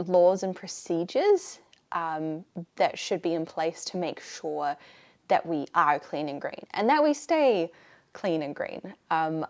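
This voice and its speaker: Chatty New Zealand woman vlogger. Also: fairly low pitch. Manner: chatty, lively, restarts sentences, uses "like" and "um" often. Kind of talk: casual talk to camera. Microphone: camera mic.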